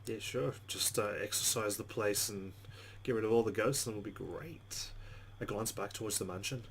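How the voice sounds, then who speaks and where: A man's voice: on the low side, normal speed, creaky, fairly bright tone, a little hiss or hum. A man hosting a let's play, reading story text aloud and commenting casually on the game. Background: game music.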